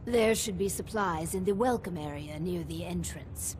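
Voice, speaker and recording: An actress playing an officious, coldly pragmatic overseer in a video game, speaking formally and deliberately. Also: female voice, fairly low pitch, heavy background noise, close to an everyday voice. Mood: brisk, appraising. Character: officious, coldly pragmatic female vault overseer, measured and scheming. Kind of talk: video game character dialogue.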